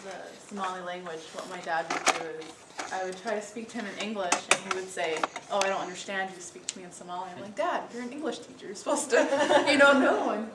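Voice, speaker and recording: A woman's voice: mid-range voice, fast, few pauses. A young woman speaking casually on a conference panel, chatty with fillers like "you know". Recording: echoey room, distant mic, noisy.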